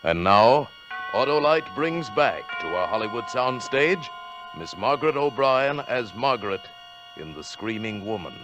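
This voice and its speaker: Dramatic American radio announcer over music. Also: male voice, medium pitch, very animated. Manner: deliberate, dramatic. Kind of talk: formal 1940s radio introduction. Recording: 1940s radio recording, music behind.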